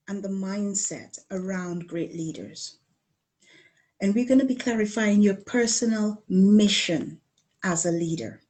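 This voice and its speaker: A middle-aged woman from Guyana who has lived in the UK, presenting a coaching talk in deliberate phrases, repeating words for emphasis. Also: mid-range voice, darkish tone. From Guyana, later living in the UK. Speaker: leadership coach presenting a challenge. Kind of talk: live online coaching talk from slides.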